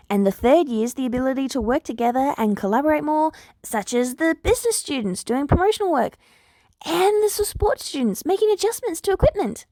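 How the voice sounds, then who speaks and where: A woman's voice: high-pitched, dark tone, dry recording, pitched above the performer's own voice. A woman voicing an earnest, eager older girl, a polite and persuasive hero student in a story.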